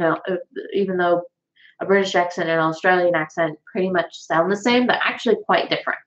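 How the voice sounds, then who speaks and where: A woman with a Southern US accent, chatting casually and rambling on a livestream, with lots of fillers and restarts. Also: fairly low pitch. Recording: dull lo-fi sound.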